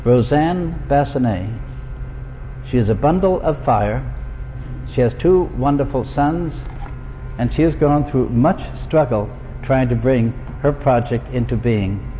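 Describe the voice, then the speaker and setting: A man addresses a gathered audience in a slow, measured way, pausing between short phrases. His voice is middling in pitch and comes through thin and phone-like. A long hall reverb hangs on every word. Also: slight background noise. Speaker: event host. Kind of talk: slow, deliberate talk to an audience.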